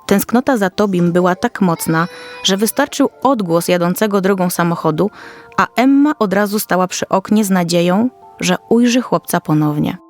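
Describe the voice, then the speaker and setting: A woman's voice: on the low side, lively intonation, normal speed, soft background music. Woman narrating a children's fairy tale. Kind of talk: scripted children's story narration.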